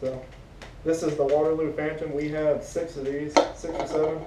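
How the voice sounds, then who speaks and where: A man's voice: level tone, moderate pace. Distant, roomy-sounding young American man. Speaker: co-host of fishing gear reviews. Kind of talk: casual review chat. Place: living room at home, indoors. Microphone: camera a few metres away. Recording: distant roomy camera audio, rumbly background noise.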